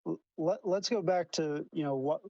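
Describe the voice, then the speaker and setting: A man's voice: on the high side, moderate pace, mellow, no echo, muffled recording. A man in an online voice chat argument, stammering and restarting as he defends himself. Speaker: debate participant defending himself.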